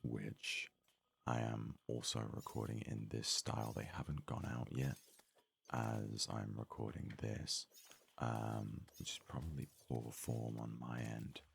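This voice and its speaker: A man softly narrating an ASMR video game let's play, rambling and thinking aloud, close to a sensitive microphone. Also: a bass voice, level tone, unhurried, creaky, slight background noise. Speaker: gaming video host. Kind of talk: whispery soft ASMR let's play commentary. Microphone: close, highly sensitive microphone.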